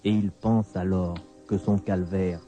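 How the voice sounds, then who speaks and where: Radio tale narrator: man, low-pitched, deliberate and dramatic, lo-fi sound over a music bed. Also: flat delivery, dark tone. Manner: measured, deliberate phrasing with pauses. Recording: dull lo-fi radio recording. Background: clear music bed.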